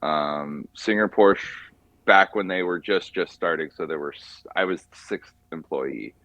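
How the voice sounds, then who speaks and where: A man from California, chatting casually and haltingly as an interview guest as he tells his story in an informal, rambling way. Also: sits low, fairly bright tone, no echo, low-quality recording. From California, USA. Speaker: podcast guest, car mechanic by trade. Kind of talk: casual chat.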